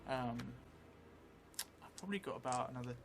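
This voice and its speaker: A middle-aged man thinking aloud as he improvises a solo tabletop game, hesitant and musing with long pauses. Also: medium pitch, over music. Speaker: solo role-player and streamer. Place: indoor theatre room, seated at a table. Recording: clear steady background noise.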